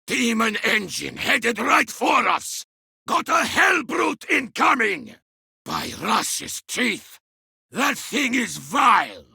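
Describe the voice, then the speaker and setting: A rough, brash warrior from a video game. He taunts savagely in a high, very raspy, piercing voice with big swoops in pitch. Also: very animated, slow, bright, forceful tone, no echo, shouting, clean studio sound, full character voice. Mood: alarmed shouting. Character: rough, brash male space marine warrior. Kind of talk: video game battle barks.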